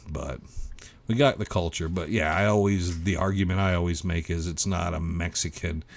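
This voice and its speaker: A man's voice: rumbling low, creaky. A middle-aged man hosting a livestream, chatting casually and reading out viewer comments in a rambling, self-interrupting way. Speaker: livestream host.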